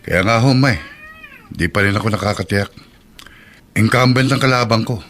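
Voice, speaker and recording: An actor in a Filipino radio drama playing a proud, worried political candidate, emphatic and defiant. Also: male voice, on the low side, moderate pace, a bit bright, slight background noise.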